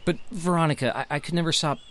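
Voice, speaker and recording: A man's voice: a little high, steady tone, fast talker, a bit bright, natural voice. Actor as guilty, pleading young man. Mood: guilty, subdued. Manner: pleading, hesitant. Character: guilty, apologetic young man confessing to his lover. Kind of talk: audio drama acting.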